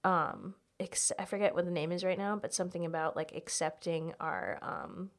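A woman's voice: on the low side, fairly even intonation, moderate pace. A woman in her late twenties chatting casually and confessionally on a podcast, speaking candidly with "like" in nearly every phrase. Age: late 20s. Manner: candid, filler-heavy, uses "like" constantly.

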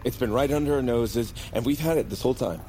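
A man's voice: mid-range voice, fast talker, dark tone, a little hiss or hum, natural voice. An actor playing a brash, cocky, foul-mouthed man who fancies himself an action hero in a comedy audio drama. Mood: grandiose. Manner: brash, swearing, mock-serious. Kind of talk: comedic audio fiction acting.

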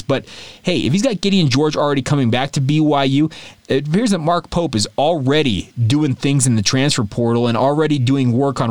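A man hosting a solo sports podcast, talking in a chatty, animated way straight to his listeners. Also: medium pitch, no room sound.

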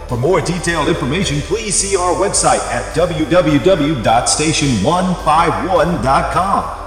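A man reading podcast credits and closing announcements in a measured scripted announcer style. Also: on the high side, very echoey, long reverb tail.

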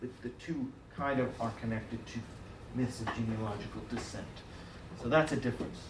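A man's voice: medium pitch, normal speed. A man giving a university lecture in a conversational way, thinking aloud with fillers and restarts. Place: lecture room. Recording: roomy hall recording from far off, faint hiss.

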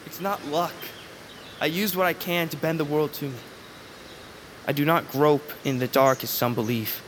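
An actor voicing a blind young man in an audio drama who speaks in a measured, gently teasing way. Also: fairly high pitch, steady tone, lightly acted. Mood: earnest. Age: young adult. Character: blind young man, calm, wise and playful. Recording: clear background noise.

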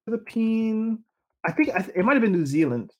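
A man in casual sports talk, his voice sitting a little high. He rambles with lots of restarts, fillers and lively asides, like a podcast co-host thinking aloud. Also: fairly quick.

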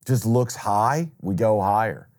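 A man's voice: fairly low pitch, animated, unhurried, darkish tone. An American man co-hosting a sports betting show with casual, opinionated banter, blunt and dramatically emphatic.

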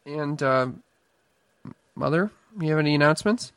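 A man's voice: a little high, normal speed, a bit bright, smooth, no echo. A young man co-hosting a casual podcast with his mother, wry and often exasperated. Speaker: podcast co-host, son.

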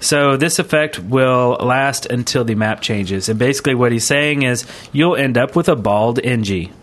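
A man with a medium-pitched voice chats on a gaming podcast as a co-host. He is easygoing and agreeable, reading tips aloud and commenting on them in a casual, conversational way. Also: moderate pace.

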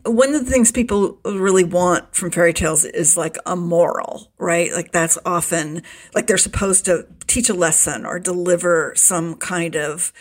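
A woman's voice: lowish voice, normal speed, hardly any pauses. A woman hosting a podcast, asking questions in a conversational book interview.

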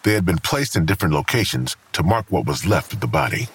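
Crime drama narrator: American, bottom-register voice, grave and measured, expressive intonation. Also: male voice, sits low, husky, heavily acted. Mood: grave, detached. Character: grave, detached male narrator of a crime story. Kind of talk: scripted noir crime narration. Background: faint music.

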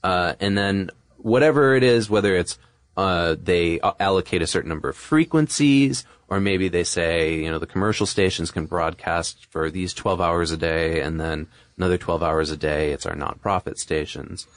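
Low-voiced man, casual podcast co-host. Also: normal speed, no room sound, clean studio sound. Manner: casual, discursive explaining. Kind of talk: conversational history discussion.

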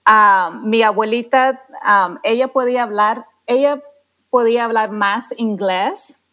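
A woman from Texas speaking Spanish as a learner, often pausing to search for words and correcting herself. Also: fairly high pitch, fairly bright tone. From Texas, United States. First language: English. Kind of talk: casual interview chat.

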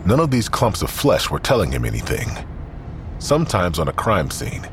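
A man with an extremely deep voice narrates a crime story. His delivery is scripted, grim and deliberate, set over clearly audible ambient noise.

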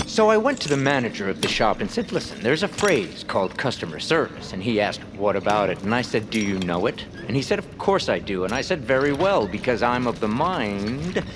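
Wordy, theatrical man with lively pitch, acted out. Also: fairly quick, hardly any pauses, noisy background, close to an everyday voice. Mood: chatty. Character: verbose, courteous fired radio personality now toiling as miner. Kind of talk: scripted audio drama.

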